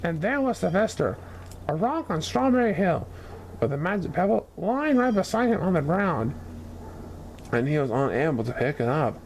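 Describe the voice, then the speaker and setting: A man reading a children's storybook expressively, with dramatic, deliberate phrasing. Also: on the high side, very animated, slight background noise, low-quality recording. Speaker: story reader.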